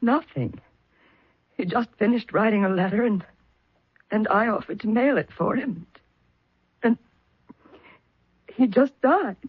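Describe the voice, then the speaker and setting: Guarded, easily indignant widow for vintage radio mystery: raspy, formal, dramatic, dry muffled broadcast. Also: female voice, a little high, measured pace, long pauses, dry recording. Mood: grieving. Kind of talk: old radio melodrama acting.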